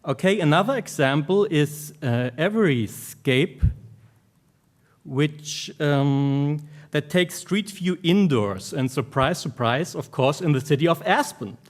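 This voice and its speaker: Higher-pitched German-accented man presenting. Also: lively intonation, takes it slowly. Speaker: academic researcher, conference speaker. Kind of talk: academic conference presentation.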